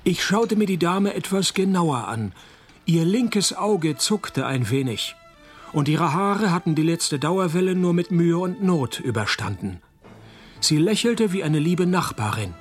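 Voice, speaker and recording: A laconic private detective in a radio play. He is dry, deadpan and sardonic, whether narrating or trading wisecracks. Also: a little high, a little slow, soft background music.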